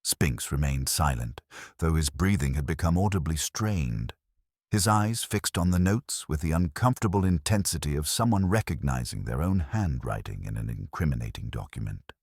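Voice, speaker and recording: A man's voice: a bass voice, expressive, normal speed, creaky, darkish tone, breathy edge, no room sound, clean studio sound. A man giving scripted narration of a mystery story as its narrator.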